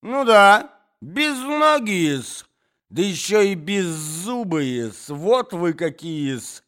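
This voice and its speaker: A man voicing a squealing, indignant middle-aged provincial gentlewoman. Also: fairly high pitch, big pitch swings, slow pace, clean tone, voice pushed up, heavily acted. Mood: shrill outrage.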